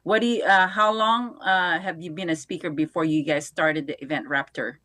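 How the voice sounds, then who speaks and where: A woman hosting an interview show, asking curious, halting questions with many fillers. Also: fairly low pitch, fairly bright tone, no room sound. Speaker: show host.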